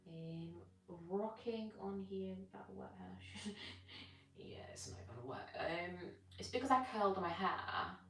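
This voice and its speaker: Low-voiced British woman, chatting informally. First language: Gujarati. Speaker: fitness live streamer. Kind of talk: casual chat with live viewers while stretching. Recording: roomy, distant mic with music behind. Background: music.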